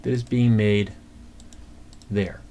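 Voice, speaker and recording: A man giving an informal screencast accounting lesson, talking through calculations step by step in a conversational, halting way. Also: fairly low pitch, steady tone, dark tone. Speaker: accounting instructor. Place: indoors at a computer. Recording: clear background noise, keyboard typing.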